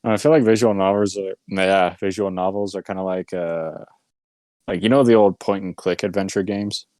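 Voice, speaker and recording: Low-voiced man in casual podcast chat. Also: steady tone, normal speed, a little mellow, no echo. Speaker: podcast co-host.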